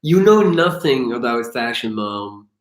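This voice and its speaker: A man's voice: medium pitch, very animated, unhurried, roomy sound. A man hosting a live question-and-answer stream, joking and teasing while he reads out viewer questions. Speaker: YouTube host.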